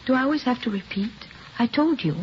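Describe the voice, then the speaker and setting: A woman's voice: on the high side, expressive, quick pace, lightly acted. An actress playing an evasive, weary young mother under questioning in a vintage radio crime drama, defensive and pleading. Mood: irritated, evasive. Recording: dull, noisy vintage radio recording.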